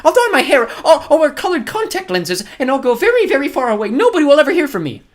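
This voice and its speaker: Storybook old woman: timid, pleading, fussy, very high and animated. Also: quick pace, exaggerated voice. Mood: desperate pleading. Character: terrified frail old aunt, pleading, fussy about grammar.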